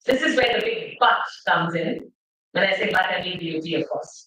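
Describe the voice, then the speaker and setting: A middle-aged woman from Bengal with an Indian English accent, giving a measured, rhetorical seminar lecture with slides. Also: fairly high pitch, pushed and bright. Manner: measured, rhetorical, asks the audience questions. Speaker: seminar speaker. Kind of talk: academic lecture presentation with slides. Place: seminar hall. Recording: echoey hall, mic at a distance.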